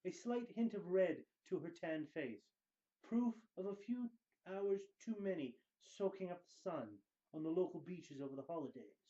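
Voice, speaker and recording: Theatrical man reading fiction, mellow tone. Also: on the high side, dull audio. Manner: theatrical, deliberate emphasis with dramatic pauses. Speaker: reader of book excerpts. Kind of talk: dramatic scripted book reading.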